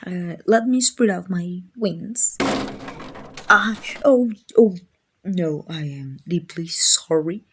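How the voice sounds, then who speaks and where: A woman voicing a gentle, doting, slightly clumsy guardian angel in a soft-spoken ASMR comfort roleplay, affectionate, hesitant and apologetic. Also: mid-range voice, slightly rough, full character voice. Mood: flustered, apologetic.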